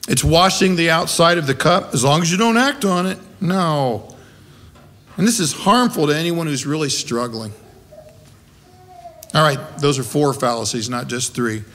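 A man's voice: fairly high pitch, fairly bright tone. A middle-aged or older American man preaching a sermon in a conversational but emphatic way, with rhetorical questions. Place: wooden-walled small church, at a pulpit. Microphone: gooseneck pulpit microphone.

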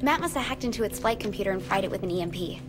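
A woman playing a paranoid, deadpan young computer hacker in a video game, talking in a dry, matter-of-fact way. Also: medium pitch, very fast, natural voice. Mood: urgent, dry. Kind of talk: video game cutscene acting. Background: background music.

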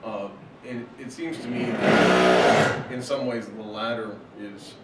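Measured low-voiced man, noisy far room. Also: big pitch swings. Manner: measured, hesitant, with many uh and you know. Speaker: workshop moderator. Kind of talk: academic question at a workshop. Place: meeting room at a table. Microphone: far camera. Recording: echoey room from far off, loud noise.

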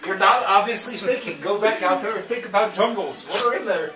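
A man's voice: high voice, brisk, roomy sound, recorded from a distance. A young man bantering casually with friends in a teasing, contrary way. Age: young adult.

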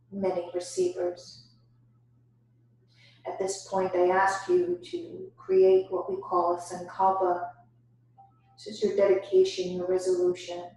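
A woman leading a guided yoga nidra meditation as instructor, giving deliberate instructions broken by pauses. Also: fairly low pitch, darkish tone. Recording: very echoey room, distant microphone.

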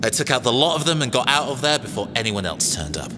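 A man's voice: medium pitch, big pitch swings, quick pace, long reverb tail, background noise, natural voice. A man playing a cagey, self-assured robot engineer mercenary in an audio drama, wry, conversational and hesitant. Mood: wry, recounting.

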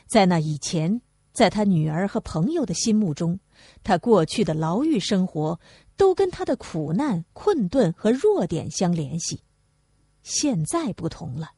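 A woman's voice: mid-range voice, a little mellow, slightly rough, no echo. A woman narrating a literary audiobook, reading from a script.

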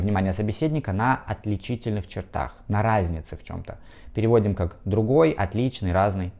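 Russian male English teacher, 30s, low warm voice, lapel mic. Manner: explains slowly, switches between languages, models pronunciation. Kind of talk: video lesson to camera. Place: bright indoor room.

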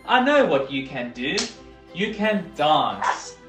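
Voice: medium pitch, sing-song, takes it slowly, a bit bright, echoey room, faint music behind. A storybook reader voicing a cheerful, encouraging female cat who coaxes and exclaims. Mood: enthusiastic.

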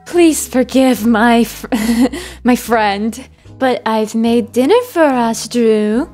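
Woman as a coy, slow-talking young quarterback charmer. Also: on the high side, animated, a little mellow. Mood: coy, flattering. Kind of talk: amateur comedic cartoon redub. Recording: music behind the voice.

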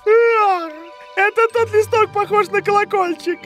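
A woman voicing a big, friendly, excitable male cartoon bear in a dubbed children's series, with an exclamatory, enthusiastic manner. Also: very high voice, measured pace, soft background music. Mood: excited, wistful. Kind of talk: animated children's series dubbing.

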